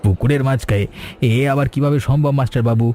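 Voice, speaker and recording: A man voicing a fast, mellow-voiced humble tea seller. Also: mid-range voice, lightly acted. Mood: grateful. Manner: anxious, stammering. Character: humble male tea seller, anxious husband. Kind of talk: animated cartoon voice acting.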